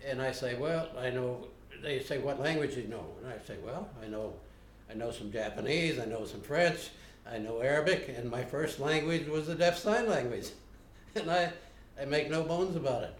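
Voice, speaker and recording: An older man being interviewed, recalling his past in a plainspoken, unhurried way with frequent pauses. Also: mid-range voice, a little hiss or hum. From United States. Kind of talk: unscripted recollection. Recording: roomy home video recording.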